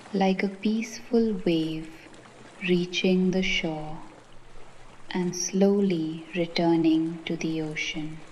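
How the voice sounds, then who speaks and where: A woman gently guiding a meditation in a soothing manner, with a soft voice that turns breathy at times and frequent pauses. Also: on the low side, a little hiss or hum. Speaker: meditation guide. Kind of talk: guided meditation narration.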